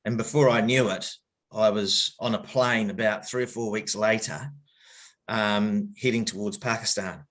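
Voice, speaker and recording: A middle-aged man with a New Zealand accent and a fairly low voice talks through his past in an interview. He hesitates often, restarts his sentences, and speaks openly and reflectively. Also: steady tone, a bit bright. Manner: halting, many fillers and restarts, open and reflective. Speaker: guest, cricket coach. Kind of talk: candid podcast interview storytelling.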